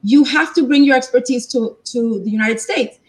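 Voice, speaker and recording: A woman's voice: a little high, normal speed, poor audio. A woman from Cuba, a guest on a talk show, telling her family's story in a casual interview.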